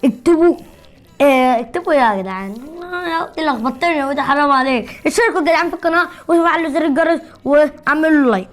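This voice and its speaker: Woman, Egyptian Arabic, high pitch, quick talker, boastful teasing game banter. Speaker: gaming channel host. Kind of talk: casual bantering gameplay commentary.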